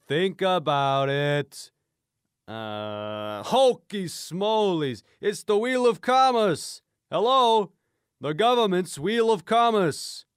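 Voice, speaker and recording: A man playing a self-assured crackpot conspiracy theorist, explaining emphatically and deliberately in an improvised radio comedy interview. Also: high-pitched, clear, resonant tone, no room sound, studio quality, heavily acted. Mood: exasperated, insistent.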